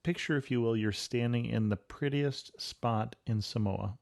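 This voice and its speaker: A man's voice: medium pitch, unhurried, creaky, darkish tone. A man hosting a travel podcast, asking brief, curious questions and giving short acknowledgements. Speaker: podcast host. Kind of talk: interview questions.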